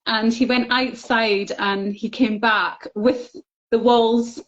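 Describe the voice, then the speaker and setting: A woman's voice: fairly high pitch, fairly even intonation, slow pace. A middle-aged woman telling her personal story openly and earnestly as an interview guest, with fillers. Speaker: guest, book contributor. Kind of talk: casual interview chat. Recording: slightly dull, lo-fi audio.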